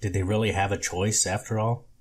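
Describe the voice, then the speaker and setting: A man's voice: on the low side, steady tone, brisk, breathy edge. A man reading a novel aloud as scripted narration, as an amateur audiobook reader.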